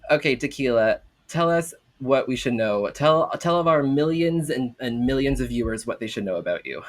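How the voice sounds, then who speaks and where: A man's voice: medium pitch, lively intonation, normal speed. A man hosting a talk show with playful banter, speaking in a campy, sassy, theatrical way.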